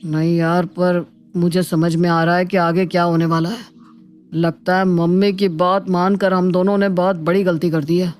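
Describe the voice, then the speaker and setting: A woman's voice: on the low side, little pitch movement, normal speed, a little mellow, natural voice. A woman voicing a naive, easygoing married elder brother in a cartoon family drama. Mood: sheepish. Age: adult. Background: faint background music.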